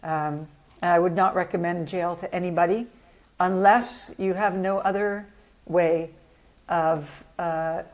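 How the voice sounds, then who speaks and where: A woman's voice: fairly low pitch, steady tone, unhurried. A woman guest telling a wry anecdote with dry, self-deprecating humor. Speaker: podcast guest.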